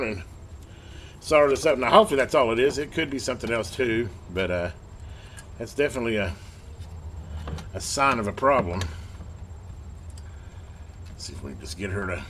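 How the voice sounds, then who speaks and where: A man's voice: mid-range voice, expressive, a little fast, long pauses, fairly bright tone. A man giving a casual hands-on repair demonstration, informal and folksy, thinking aloud as he works. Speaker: repair hobbyist presenting a video. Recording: clearly noisy amateur workbench video.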